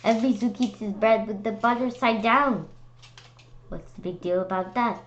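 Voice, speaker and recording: A woman reading a storybook aloud with casual asides, in a halting way, stumbling over and repeating words. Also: fairly high pitch, highs cut off. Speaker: read-aloud presenter.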